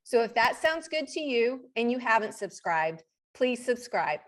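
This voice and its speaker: A woman's voice: on the high side, big pitch swings, a little slow. A woman hosting an interview on her online channel, upbeat, with brief affirmations. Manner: upbeat, reading the guest bio, brief affirmations. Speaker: host.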